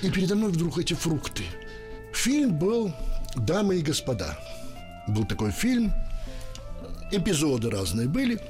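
An older man from Ukraine, an interpreter, telling a story in a radio interview, lively and acting out the voices he quotes. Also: a little high, measured pace, long pauses, faint music behind.

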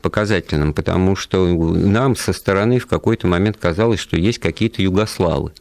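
A male radio host with a low, deep voice talks through the show in a thoughtful, halting way, leaning on fillers as he works out his points. The sound is dry and close, with no room around it. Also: creaky, a little mellow, no room sound. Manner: thoughtful, hesitant, with frequent fillers. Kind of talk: live radio talk show discussion. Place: radio studio.

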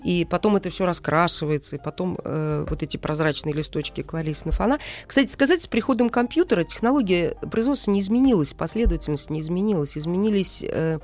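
A woman's voice: lowish voice, fast talker, hardly any pauses, darkish tone, soft background music. A woman speaking as an interview guest, explaining her craft in an emphatic storytelling way. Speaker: animation film director, studio guest.

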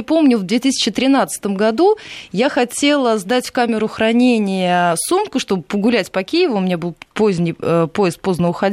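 A woman's voice: fairly high pitch, darkish tone, no echo. A woman hosting a talk show, asking her guests questions conversationally. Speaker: host.

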